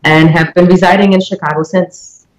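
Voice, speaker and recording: Low-pitched Polish-accented woman, halting and deliberate. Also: steady tone, rough recording. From Poland. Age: 40s. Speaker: interview guest, mortgage loan originator. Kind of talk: reflective interview answers.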